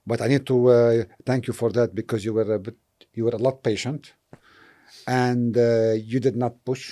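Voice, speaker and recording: A middle-aged man from Lebanon, a property investor, answering interview questions thoughtfully with many pauses and fillers. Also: mid-range voice, level tone, mellow. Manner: thoughtful, hesitant with frequent fillers.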